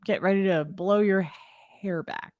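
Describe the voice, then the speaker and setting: A woman's voice: medium pitch, a little slow, no room sound, muffled recording. A woman, co-hosting a livestream, chatting playfully and greeting viewers. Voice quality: slightly raspy. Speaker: livestream co-host. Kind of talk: casual chat reading out viewer names.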